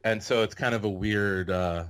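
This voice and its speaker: A man in his thirties from California hosting a casual podcast interview over a video call, talking in a relaxed, rambling way with many fillers. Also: on the low side, normal speed, clear, resonant tone. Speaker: podcast host.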